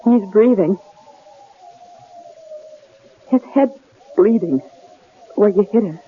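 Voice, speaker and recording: An actress playing a desperate, devoted wife, pleading and halting, in old-time radio drama style. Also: female voice, mid-range voice, expressive, husky, narrow, tinny audio. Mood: shaken. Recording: thin, noisy old radio broadcast.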